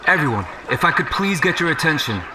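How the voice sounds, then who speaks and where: An actor playing a beleaguered police chief making a halting, formal announcement and pleading for order in an audio drama. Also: male voice, a little high, sing-song. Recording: noisy street, voice at a distance.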